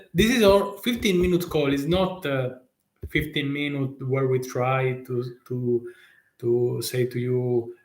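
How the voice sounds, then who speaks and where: A man with an Italian accent, a tax consultant talking live to viewers in halting, restart-filled English with rhetorical questions. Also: mid-range voice, reverberant. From Italy. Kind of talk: live stream talk.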